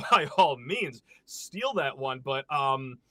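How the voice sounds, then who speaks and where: A man's voice: mid-range voice, dry recording. A man hosting a sports podcast, chatting casually and joking with his guest. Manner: animated, joking, quick asides. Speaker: podcast host.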